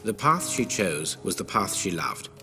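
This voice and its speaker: Solemn gentleman. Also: deep voice, very animated, exaggerated voice. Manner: formal, measured. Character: solemn, reflective gentleman mourning a lost friend. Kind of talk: video game cutscene acting. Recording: loud music behind.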